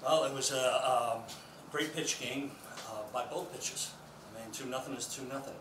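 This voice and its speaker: Middle-aged coach, echoey noisy room. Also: male voice, mid-range voice, steady tone, normal speed. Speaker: baseball head coach. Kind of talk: post-game press conference answers. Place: indoor press conference room at a table. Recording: echoey, distant mic, loud room noise.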